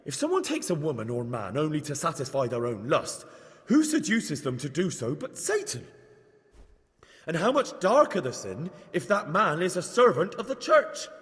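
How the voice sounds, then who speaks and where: A man playing an earnest, unsure young layman who preaches in a declamatory but halting way in a video game. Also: a little high, huge pitch swings, fairly bright tone. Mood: indignant, flustered. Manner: declamatory but halting, stammering when flustered. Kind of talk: video game acting, improvised sermon. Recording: reverberant church hall.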